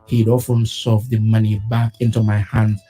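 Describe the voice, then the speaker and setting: A man presenting a weekly news program, reading his script in a measured, solemn way. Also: fairly low pitch, flat delivery, warm tone. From West Africa.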